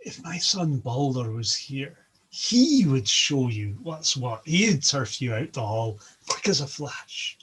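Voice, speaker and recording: A stern, dignified queen goddess, as a male storyteller puts her on. The reproving voice is husky and slow, with lively rises in pitch. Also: full character voice.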